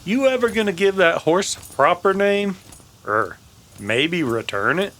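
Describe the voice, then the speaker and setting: A man's voice: high-pitched, animated, measured pace, a bit bright. A man playing a wounded, grumbling, dry-witted cowboy in an old-time western radio drama, sounding exasperated and sardonic. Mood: teasing.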